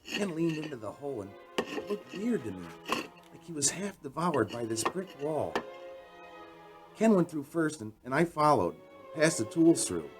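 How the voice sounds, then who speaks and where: A nervous, earnest young man in a radio play, recounting something frightening. His voice sits fairly high and swings expressively, with music playing underneath. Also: big pitch swings, moderate pace. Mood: tense recounting. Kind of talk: radio drama acting and narration.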